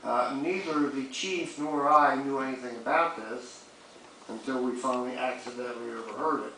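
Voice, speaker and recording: A man's voice: mid-range voice, moderate pace, pushed and bright. A man serving as a city commissioner makes blunt, plain-spoken clarifying remarks at a public meeting. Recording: very echoey room, distant mic, faint noise.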